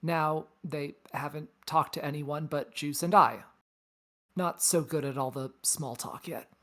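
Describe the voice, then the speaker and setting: A woman's voice: deep voice, fairly even intonation, measured pace, fairly bright tone. A woman playing a wry, teasing big-sister space probe in a casual sci-fi table read. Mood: breezy, friendly. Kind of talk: table read of a science fiction story.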